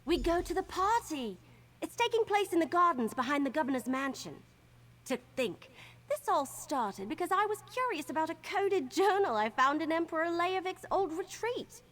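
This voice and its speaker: An actress playing an eager, earnest young adventurer in a video game, with a bubbly, youthful delivery. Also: female voice, very high-pitched, big pitch swings, normal speed, fairly bright tone, exaggerated voice. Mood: cheerful, reflective. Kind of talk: video game character dialogue.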